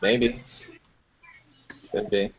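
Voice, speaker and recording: A man in his early twenties chatting casually about music, laid-back and profane, laughing often. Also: very slow. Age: 20s. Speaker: music producer guest. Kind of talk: casual podcast chat. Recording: dull lo-fi stream audio.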